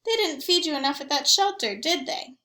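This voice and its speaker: A woman voicing a fond, forgiving young boy in an expressive picture-book read-aloud. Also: high-pitched, fairly quick, roomy sound.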